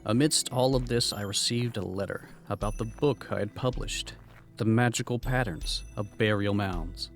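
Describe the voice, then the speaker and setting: A man's voice: fairly low pitch, normal speed, vocal fry. A man voicing an obsessive former archaeology professor in a fan manga dub, earnest and musing. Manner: earnest, musing inner monologue. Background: music.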